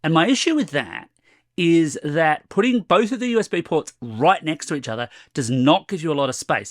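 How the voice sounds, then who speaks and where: Slightly hoarse man reviewing gadgets. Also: a little high, fairly bright tone, no echo, studio quality. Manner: chatty, wry, complaining. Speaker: tech reviewer. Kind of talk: casual product review to camera. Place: home room with shelves.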